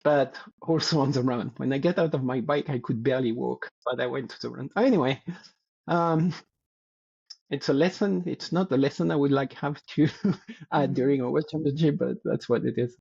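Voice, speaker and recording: A man's voice: mid-range voice, creaky, a little mellow, slightly rough. Muffled French-accented man around sixty. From France. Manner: halting, searching for words, laughing lightly. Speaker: guest athlete. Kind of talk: casual interview talk.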